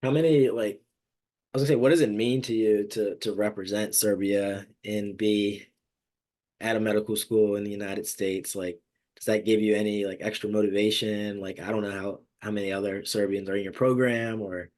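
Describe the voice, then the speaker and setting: Low-voiced man, casual co-host. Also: fairly even intonation, normal speed, a little mellow. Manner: casual, lots of "like" fillers. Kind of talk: casual podcast interview.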